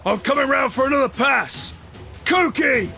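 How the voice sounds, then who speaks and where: A man's voice: very high voice, animated, normal speed, creaky, sharp tone, raised voice, exaggerated voice. A man playing a boisterous, commanding pirate captain who tells his sea tale in a dramatic storytelling style, with music behind him. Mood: shouting orders. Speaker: narrator and captain.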